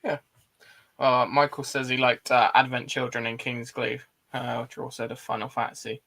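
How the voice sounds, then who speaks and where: A man's voice: mid-range voice, little pitch movement, normal speed, gravelly, pushed and bright. A man in casual conversation as a panelist, easygoing and reassuring. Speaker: panelist relaying chat comments. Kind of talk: casual chat.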